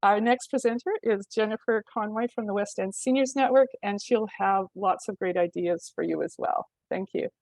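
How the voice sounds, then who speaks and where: A woman's voice: medium pitch, normal speed, few pauses, darkish tone, no echo, low-quality recording. Lady on a webinar call talking about tenant stuff, laid back, lotsa ums, dry sound. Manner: casual, lots of ums and likes. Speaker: housing community worker. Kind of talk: webinar presentation. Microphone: video call.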